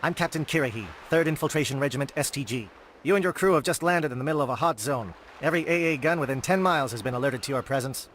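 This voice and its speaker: An actor playing a stern, brisk military alien captain in a video game. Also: male voice, mid-range voice, a bit bright, slight background noise, close to an everyday voice. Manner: brisk, formal military delivery.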